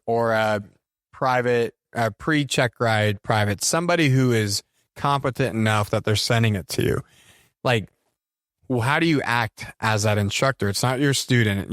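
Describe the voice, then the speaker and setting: A man co-hosting a podcast in casual chat, a flight instructor who talks in a relaxed, joking way with many fillers. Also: medium pitch, no echo, studio quality.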